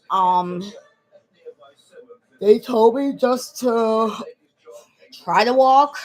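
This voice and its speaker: A woman in her late twenties talks casually to the camera in a personal vlog. She rambles and circles back, repeats the same points and restarts her sentences. Her pitch sits in the middle range. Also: rough recording. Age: 20s. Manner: rambling, repeats herself, many fillers and restarts. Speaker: vlogger.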